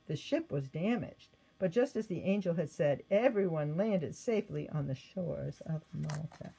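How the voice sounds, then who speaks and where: A woman reading a scripted children's Bible story aloud in an expressive manner. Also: low-pitched, moderate pace, gravelly, breathy edge, low-quality recording. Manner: expressive read-aloud with acted quotes. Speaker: storybook reader.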